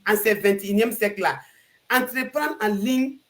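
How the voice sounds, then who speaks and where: A woman hosts a live stream in a mid-pitched voice. She talks expressively, growing louder and softer, and often circles back to repeat herself. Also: normal speed.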